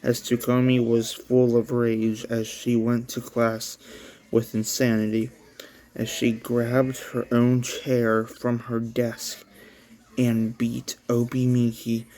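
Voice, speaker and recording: A man's voice: medium pitch, dark tone. A man casually reading his own story aloud as a channel host, in an offhand storytelling manner. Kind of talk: casual read-along narration.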